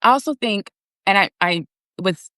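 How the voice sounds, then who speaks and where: A woman's voice: medium pitch, moderate pace, bright, forceful tone, no room sound, clean studio sound. A woman guest and author talking earnestly in a casual live video conversation, explaining her ideas steadily. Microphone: video call.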